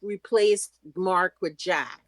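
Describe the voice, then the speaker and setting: A woman's voice: on the low side, slow pace, no room sound. A woman from Trinidad and Tobago co-hosting a podcast, thinking aloud about a TV episode. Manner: reflective, thinks aloud with restarts. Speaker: podcast co-host. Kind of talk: casual rewatch recap chat.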